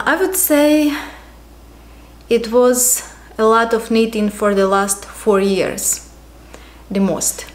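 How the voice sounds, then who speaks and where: A woman in her thirties from Ukraine with a Ukrainian accent, hosting a casual knitting podcast at home, talking unhurriedly with frequent ums and pauses. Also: mid-range voice. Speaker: podcast host. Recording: echoey room.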